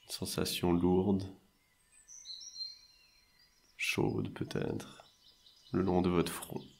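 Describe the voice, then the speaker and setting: A man guiding a meditation in a soothing, measured way, leaving long pauses between short phrases. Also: low voice, little pitch movement, gravelly, darkish tone, a little hiss or hum. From French-speaking Switzerland. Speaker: meditation and hypnosis guide. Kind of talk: guided meditation narration.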